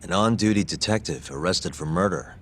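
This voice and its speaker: An actor playing a cool, wry male private investigator in an English video game dub, dry and sardonic. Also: deep voice. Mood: dry, amused. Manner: dry, sardonic, unhurried questioning.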